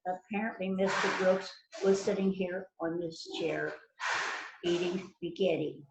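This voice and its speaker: Self-assured detective played by a woman, unhurried halting script reading, amateur stage mystery, echoey dull recording. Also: lowish voice, steady tone, close to an everyday voice. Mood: probing. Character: police detective, probing, self-assured, methodical. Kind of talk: amateur readers theater, read from script. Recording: roomy echo, dull muffled sound, noisy.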